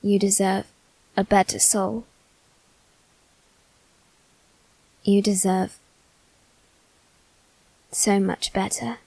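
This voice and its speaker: Slow, halting young aristocratic boy, acted by a woman. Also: mid-range voice, darkish tone, no room sound, rough recording. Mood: self-loathing, dejected. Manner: halting, theatrical, formal phrasing. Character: proud, wounded, self-loathing aristocratic boy facing his demon. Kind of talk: ASMR character roleplay, dramatic monologue.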